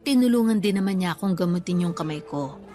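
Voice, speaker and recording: A woman playing a forgiving, self-effacing older mother in a radio drama, meek and gently defensive. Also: fairly low pitch, lively intonation, fast, music in the background, close to an everyday voice. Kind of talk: Tagalog radio drama acting.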